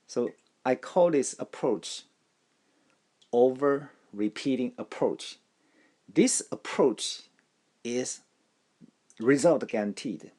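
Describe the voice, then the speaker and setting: Slow, deliberate man teaching language. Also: mid-range voice, expressive, warm tone. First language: Taiwanese. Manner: deliberate, repeats phrases, frequent restarts. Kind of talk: slow instructional talk.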